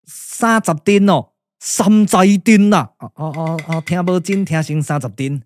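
An actor with a Taiwanese accent playing a comic, boastful, loyal sidekick in puppet radio drama, earthy and full of exclamations. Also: male voice, sits high, measured pace. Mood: puzzled. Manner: colloquial, exclamatory, drawling.

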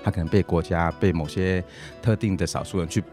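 A man with a Taiwanese Mandarin accent talks casually as an interview guest. His voice sits fairly low and moves quickly, earnest and emphatic, circling back on phrases. Soft guitar music plays behind him. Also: fairly even intonation. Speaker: guest, social activist.

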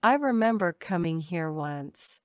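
A woman reads short practice sentences in a measured, careful way, each one repeated. Her voice is smooth and resonant, pitched a little low. The sound is dry and close, with no room around it. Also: animated, darkish tone, dry recording. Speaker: English phrase reader. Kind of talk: slow repeated drill phrases.

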